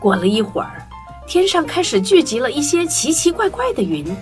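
Woman reading a kids' story as narrator, very expressive pitch, music playing behind her. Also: moderate pace.

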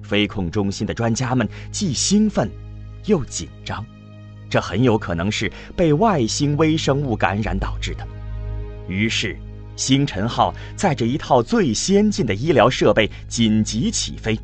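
A man's voice: medium pitch, very animated, unhurried, faint music behind. A man giving solemn scripted narration as the narrator of a science fiction radio drama.

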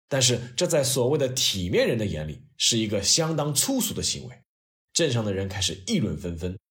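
A man tells a scripted story as a narrator. His mid-pitched voice moves widely up and down in intonation. The delivery is expressive and conversational, in the manner of a storyteller. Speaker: host narrator of history stories.

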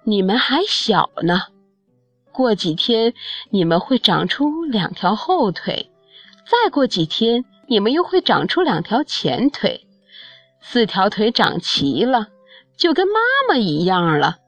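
Woman as a tender, slow-talking mother frog. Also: mid-range voice, sing-song, no echo, faint music behind, heavily acted. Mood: reassuring. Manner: tender, reassuring. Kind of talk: children's storybook character voice.

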